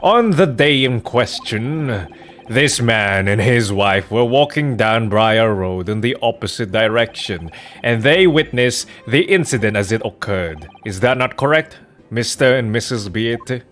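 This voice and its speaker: A man's voice: mid-range voice, lively intonation, a little slow, fairly bright tone. A man voicing a formal, pompous Victorian courtroom official in a video game, with wordy delivery. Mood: formal, grandiose.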